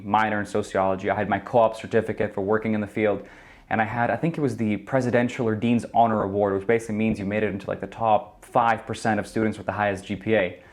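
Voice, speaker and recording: Low-voiced earnest young man. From Ukraine. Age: 20s. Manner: earnest, thoughtful, with frequent ums. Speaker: guest. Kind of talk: reflective interview answers. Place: indoor studio.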